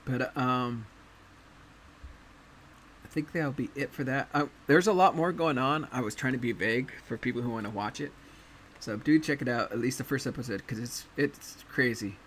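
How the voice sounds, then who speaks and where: A man hosting a casual anime chat, talking in a relaxed way with many fillers and pauses. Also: medium pitch, slight background noise. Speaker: podcast host.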